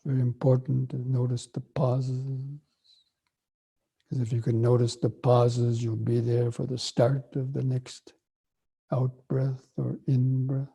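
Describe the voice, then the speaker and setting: Man leading a guided breathing meditation, very slow, dead-level delivery with a dark, mellow tone. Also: mid-range voice, creaky, breathy edge. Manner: slow, soft and even, with long pauses. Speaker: meditation teacher.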